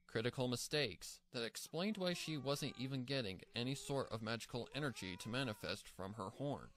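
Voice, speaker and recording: A man's voice: medium pitch, animated, normal speed, fairly bright tone. A man narrating a scripted story as its narrator.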